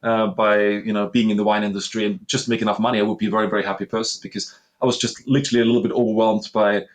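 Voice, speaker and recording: A man in his thirties with an Austrian accent speaks as an interview guest in a somewhat low voice. He tells his story in an earnest, reflective way. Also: little pitch movement, racing. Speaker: guest, master sommelier. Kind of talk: conversational interview.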